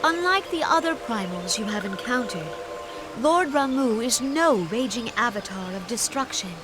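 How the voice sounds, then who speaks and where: A woman playing a gentle, dignified leader of a forest nation in a video game, speaking in a formal, measured, earnest and courtly way. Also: on the high side, expressive, noisy background, natural voice. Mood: grave, hopeful. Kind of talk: scripted video game voice acting. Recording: loud music behind the voice.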